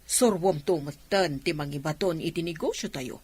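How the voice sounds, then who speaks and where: A woman's voice: low-pitched, normal speed, darkish tone, slightly rough. A woman acting a firm, persuasive mother of a grown daughter in an Ilocano radio drama.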